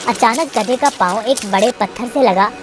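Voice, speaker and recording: A woman's voice: fairly high pitch, moderate pace, heavy background noise. A woman reading a scripted moral tale for children as the storyteller. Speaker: narrator. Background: music.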